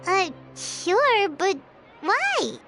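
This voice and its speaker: Tiny childlike game fairy, extremely high and animated, curious and exclamatory, music behind. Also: female voice, extremely slow, exaggerated voice. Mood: surprised, puzzled. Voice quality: squeaky, childlike. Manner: refers to self in third person, exclamatory. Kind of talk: video game voice acting.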